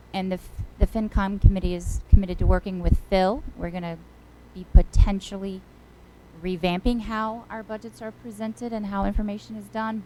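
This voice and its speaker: A woman's voice: medium pitch, mellow, a little hiss or hum, poor audio. A woman chairing a finance committee, giving an update at a board meeting in a hesitant way with frequent fillers.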